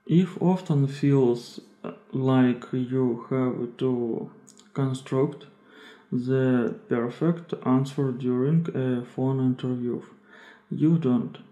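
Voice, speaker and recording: Slow, halting young man reading aloud. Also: mid-range voice, fairly even intonation, mellow. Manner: halting, hesitant delivery, broken into short phrases. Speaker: reader of online articles. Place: small indoor room. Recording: echoey small room.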